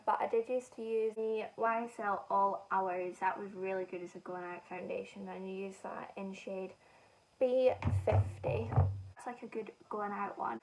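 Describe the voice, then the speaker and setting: A woman's voice: a little high, gravelly, a little mellow. Chatty young Scottish woman, slightly distant mic. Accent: Scottish softened by northern English. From Scotland. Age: young adult. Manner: chatty, rambling and self-mocking. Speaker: beauty vlogger. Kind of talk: casual chat while doing makeup.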